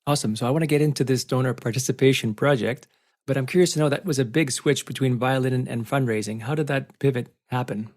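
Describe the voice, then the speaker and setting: A man's voice: medium pitch, quick pace, a little mellow. A man hosting an interview podcast, introducing and questioning a guest in a conversational style. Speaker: podcast host.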